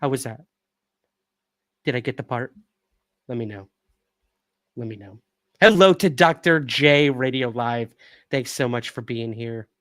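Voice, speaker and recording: A man hosting a podcast livestream, chatting casually and upbeat with viewers, with a calming voice, recorded on a new studio microphone. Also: mid-range voice, unhurried, long pauses, dry recording. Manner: upbeat, thanks viewers and reads chat aloud.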